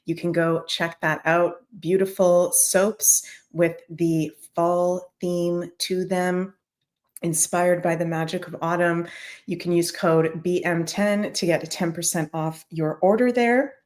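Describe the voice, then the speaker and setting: A woman with a slightly low, voice hosts a casual daily horoscope show, talking straight to her viewers. Her voice is a little scratchy and she keeps calling them "you guys.". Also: fairly even intonation, slow pace, darkish tone. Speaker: astrology show host.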